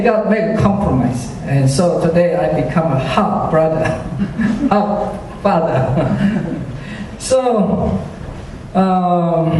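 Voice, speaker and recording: A man's voice: high voice, warm tone, a little hiss or hum. A man from China giving a personal faith testimony to a church audience, hesitant with many fillers and frequent self-deprecating chuckles. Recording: echoey hall, speaker far from mic.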